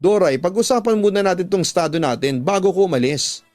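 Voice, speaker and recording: A guilty, unfaithful husband in a radio drama, voiced by a male narrator, pleading earnestly yet holding firm. The voice is fairly high for a man and closely recorded, with music underneath. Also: animated, brisk, a little mellow, no echo. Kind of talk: Tagalog radio drama acting.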